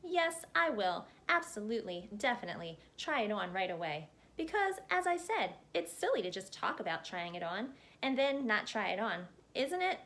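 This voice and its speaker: A woman voicing an excitable storybook chatterbox man who rambles on breathlessly and keeps interrupting himself. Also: mid-range voice, normal speed, few pauses. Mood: excited, gushing.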